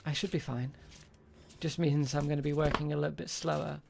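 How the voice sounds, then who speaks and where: A game master with a creaky voice and a hesitant delivery, improvising tabletop roleplay as a shopkeeper. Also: mid-range voice, flat delivery, fairly quick, background noise.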